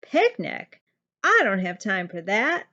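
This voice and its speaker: Woman doing cranky overworked toad mom in a storybook, grumbling, swoopy pitch, lo-fi. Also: wildly swooping pitch, takes it slowly, pushed and bright, heavily acted. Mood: grumpy. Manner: snapping, grumbling, emphatic. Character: grumpy, overworked mother toad, short-tempered. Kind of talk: children's storybook character voice.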